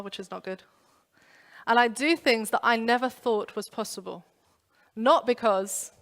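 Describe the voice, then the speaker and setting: Woman preaching in a reverberant hall. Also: medium pitch. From London. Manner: animated storytelling with acted-out inner voices. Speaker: pastor. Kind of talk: youth sermon. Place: church.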